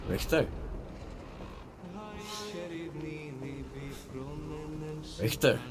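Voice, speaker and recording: An actor playing a plainspoken, skeptical stalker hero in a video game, blunt and deadpan. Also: male voice, fairly quick, over a noisy crowd, natural voice. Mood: questioning. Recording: clear background noise, ambience.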